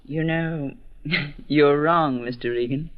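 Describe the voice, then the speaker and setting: A man's voice: on the high side, fairly even intonation, slow pace. A man playing a cool, candid magazine publisher in a 1940s radio drama, speaking with wry composure. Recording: dull vintage radio recording.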